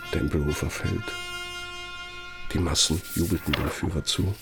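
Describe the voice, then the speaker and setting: Old nazi era architect in a radio play, really low voice, slow deliberate pauses, bitter and formal, faint music behind. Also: male voice. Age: older. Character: aging former Nazi-era architect, bitterly reproachful, nostalgic. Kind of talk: dramatic radio play monologue addressed to an old colleague.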